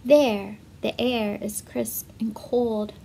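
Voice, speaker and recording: A woman reading a children's story aloud in an expressive, measured way, with asides to young listeners. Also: a little high, creaky, a little hiss or hum, poor audio. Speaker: storybook reader for schoolchildren.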